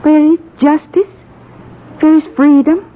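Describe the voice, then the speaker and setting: Radio western: fiercely loyal immigrant woman, high voice, broken English, old lo-fi broadcast. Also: steady tone, a little slow. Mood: bitter, despairing. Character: distraught, stubborn immigrant woman loyal to her man. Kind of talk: 1950s radio western acting.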